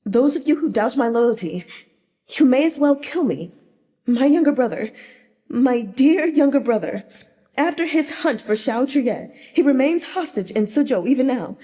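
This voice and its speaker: A woman's voice: high-pitched, expressive, normal speed, warm tone. A woman voicing a self-pitying, scheming male court official who pleads melodramatically, in an audiobook with long reverb. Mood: wailing, aggrieved. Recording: long reverberant hall echo.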